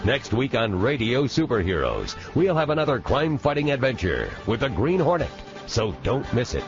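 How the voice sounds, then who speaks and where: A man's voice: mid-range voice, very animated, moderate pace. A man announcing scripted radio show credits. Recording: dull audio under loud music.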